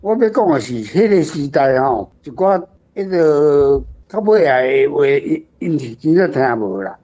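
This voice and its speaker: A man's voice: fairly high pitch, expressive, unhurried, dark tone. An older man speaking as a guest author, explaining and reminiscing informally. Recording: dull lo-fi sound.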